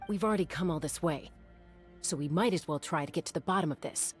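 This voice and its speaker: A woman's voice: sits low, quick pace, faint music behind, natural voice. A woman playing a composed, practical adventurer in a fantasy video game.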